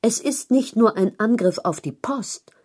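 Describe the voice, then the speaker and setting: A woman narrating a written news feature in a measured, articulate read-aloud style. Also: fairly high pitch, expressive, darkish tone, slightly rough. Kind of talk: scripted narration of long-form journalism.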